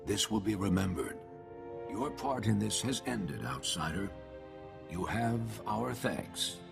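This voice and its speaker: Slow, very creaky alien dignitary. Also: male voice, lowish voice, expressive, heavily acted. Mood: grave gratitude. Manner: formal, measured, reserved. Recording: music behind the voice.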